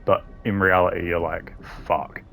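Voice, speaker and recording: A man's voice: low-pitched, little pitch movement, normal speed, vocal fry, fairly bright tone, soft background music. A man hosting a gaming video with casual, wry, sardonic commentary and some swearing. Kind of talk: casual tier-list commentary.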